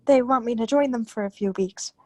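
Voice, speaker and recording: A woman's voice: fairly high pitch, brisk, mellow, no room sound, natural voice. A woman playing an anxious, secretive politician's wife in a radio melodrama, speaking hesitantly and breaking off her sentences. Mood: placating. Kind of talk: old-time radio drama acting.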